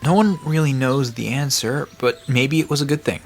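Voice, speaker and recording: A man's voice: medium pitch, moderate pace, lightly acted. A man playing a friendly park guide who explains myths conversationally in an audio drama. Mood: storytelling. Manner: explanatory storytelling. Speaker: storyteller guide. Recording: background noise with outdoor ambience.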